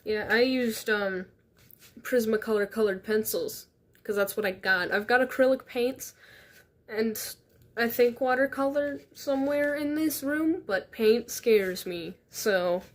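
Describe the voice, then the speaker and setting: A woman's voice: a little high, measured pace. Stuffed-up woman chatting on a stream. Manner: reads chat questions aloud, chatty and laughing. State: stuffed up, sniffly. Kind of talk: casual stream chat while crafting.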